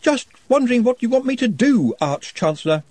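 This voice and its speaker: A man's voice: very high-pitched, sing-song, brisk, a little mellow, no echo, pitched above the performer's own voice, full character voice. A man voicing an anxious, overworked young male wizard in a storybook reading, fretful and flustered.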